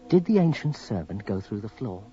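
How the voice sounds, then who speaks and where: Ominous guy telling a horror story, low voice, measured, dull noisy old radio sound. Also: very animated, music in the background. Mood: ominous, haunted. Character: man narrator recalling a sinister, eerie encounter. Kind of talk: dramatic first-person narration, radio horror. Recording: muffled, noisy old radio broadcast recording.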